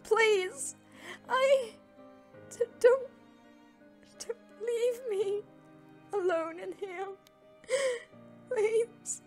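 A woman voicing a frantic childlike female alien gem in a comic dub, with pleading, stammering, shrill delivery. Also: very slow, long pauses, faint music behind, heavily acted. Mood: desperate pleading. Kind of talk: animated comic dub acting.

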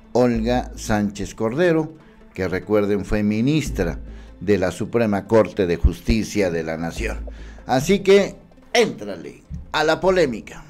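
A man in his fifties with a Mexican accent, giving emphatic, indignant political commentary to camera from a small studio on a mic in frame. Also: medium pitch. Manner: emphatic, indignant, animated hand gestures. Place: small studio with city backdrop.

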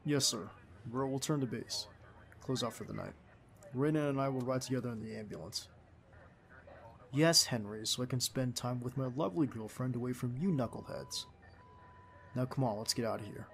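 Man acting soft, gravelly young agent boyfriend. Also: mid-range voice, normal speed, pauses often, close to an everyday voice. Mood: casual, brisk. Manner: soothing, intimate, reassuring. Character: tender, protective young secret agent boyfriend. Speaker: ASMR roleplay voice actor. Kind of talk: ASMR boyfriend roleplay acting. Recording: faint background ambience noise.

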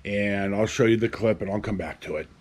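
A man in his fifties hosting a casual storytime to camera, with a halting, wry delivery. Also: fairly low pitch. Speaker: host. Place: covered outdoor patio at a house.

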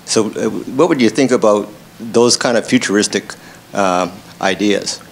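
A man in the audience putting a spontaneous question, halting as he searches for his words. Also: lowish voice, gravelly. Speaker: audience member asking a question. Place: university lecture theatre. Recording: faint noise, lecture hall.

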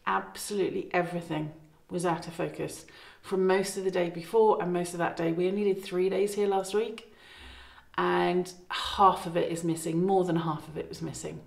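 A woman's voice: on the low side, steady tone, normal speed, gravelly. A middle-aged woman chatting casually to her phone camera inside an old farmhouse, rambling and correcting herself as she goes. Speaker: vlogger renovating a farmhouse. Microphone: phone camera at arm's length. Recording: roomy echo.

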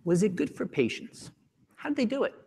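A man in his forties giving a keynote talk on a conference stage, animated and acting out the voices of doctors, on a lapel mic. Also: high voice, brisk, vocal fry, long reverb tail. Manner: animated, acts out doctors' voices, gestures a lot. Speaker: keynote speaker. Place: large conference hall stage.

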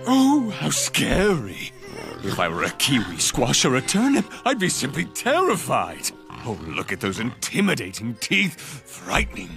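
A man's voice: on the high side, huge pitch swings, slow, music in the background, heavily acted. A man voicing a scheming male elephant villain who mocks and threatens, then turns falsely sweet. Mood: mocking menace. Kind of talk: cartoon voice acting.

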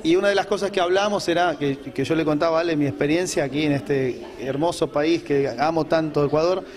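Middle-aged Argentine man, slightly high voice. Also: brisk. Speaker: singer and musician, interview guest. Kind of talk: casual interview answers. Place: indoor room. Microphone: handheld interview microphone.

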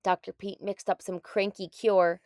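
A woman narrating a children's story in a deliberate, expressive read-aloud style. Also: mid-range voice, no echo, clean studio sound.

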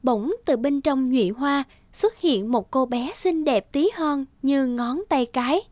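A woman's voice: sits high, unhurried, a little mellow. A woman narrating a scripted children's fairy tale as a storyteller. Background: children's guitar music.

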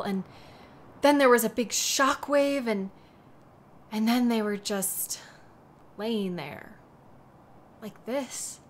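A woman playing a devoted, worried young woman in an intimate audio roleplay, speaking tenderly and haltingly with a wistful manner. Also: fairly high pitch. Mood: anxious, shaken.

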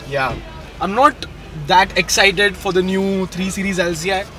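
A man's voice: sits high, unhurried. A young man, vlogging casually and enthusiastically at an outdoor car show, talking into the camera with filler "uh"s. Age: young adult. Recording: loud music behind the voice.

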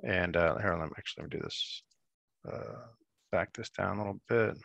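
A man in his thirties walks through a software demo over a video call, easygoing and full of fillers. His voice sits low but sounds bright. The headset sound is dry and close. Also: a little fast, vocal fry, dry recording. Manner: relaxed, explanatory, many fillers. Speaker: app demonstrator. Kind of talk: screen-share software demo.

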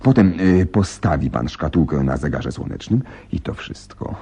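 A deep, dark-voiced detective for radio drama, commanding and deliberate. His intonation swings widely as he instructs and thinks aloud, often doubling his phrases. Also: expressive, slightly rough, heavily acted. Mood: urgent, instructing. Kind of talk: Polish radio drama acting.

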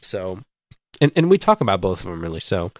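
A male podcast host with a congested, stuffy voice, joking and rambling through casual chat. His voice sits on the low side. The sound is dry and close. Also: very animated, fairly quick, no room sound. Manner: joking, rambling, stumbling over words. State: congested from a cold.

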